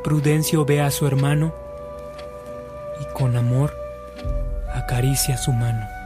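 A man's voice: mid-range voice, steady tone, measured pace, over loud music, lightly acted. A man narrating a scripted radio drama.

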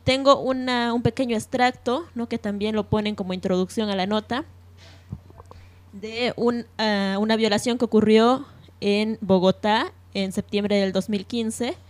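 A woman presenting a radio programme, part reading news and part speaking off the cuff, with hesitant, halting phrasing. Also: medium pitch, fairly even intonation.

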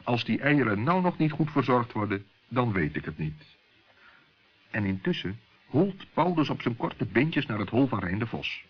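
Male children's narrator: husky, lively intonation, muffled vintage radio recording. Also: medium pitch, normal speed. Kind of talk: expressive children's story narration.

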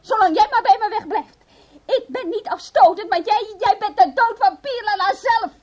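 A woman's voice: very high voice, normal speed, lo-fi sound. An actress playing a bitter, frustrated wife who mockingly taunts her husband, in a theatrical Dutch radio play style. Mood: bitter, provocative. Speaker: radio drama actor.

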